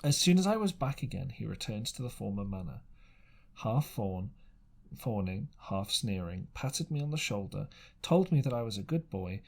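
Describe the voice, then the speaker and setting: A man narrating an adventure audiobook as an amateur reader, dramatic in style but halting, stumbling over phrases and rereading them. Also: fairly low pitch, very animated, gravelly, a little mellow.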